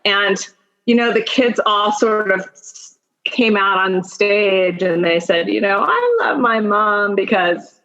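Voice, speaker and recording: A woman's voice: mid-range voice, animated, slow, pushed and bright, highs cut off. A middle-aged woman giving career advice and telling personal stories as a guest in a conversation, emphatic and encouraging, speaking straight to the listener. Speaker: podcast guest, attorney and mentor.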